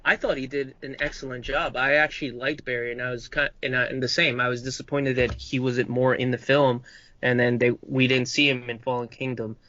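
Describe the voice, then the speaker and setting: A man from Uruguay chatting on a podcast, earnest and halting with many fillers. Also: medium pitch, flat delivery, pushed and bright, dry recording. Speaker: podcast panelist. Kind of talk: casual chat. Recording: dull, dry lo-fi mic.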